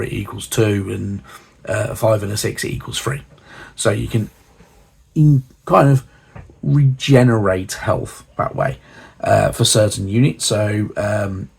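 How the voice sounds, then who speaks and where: Casual hobby host, male, low-pitched, gravelly and husky from a cold, rambling delivery. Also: a little mellow. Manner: rambling, many fillers and restarts. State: hoarse from a cold. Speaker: channel host reviewing a hobby magazine. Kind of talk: casual unscripted walkthrough.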